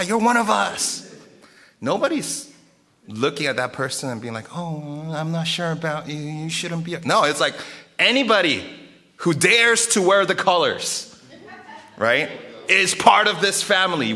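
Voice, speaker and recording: A male pastor speaks to his congregation in a bright, forceful voice that sits a little high. He preaches casually through stories, animated and conversational, circling back and repeating phrases as he goes. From Korea and South America. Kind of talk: casual storytelling sermon to a live congregation.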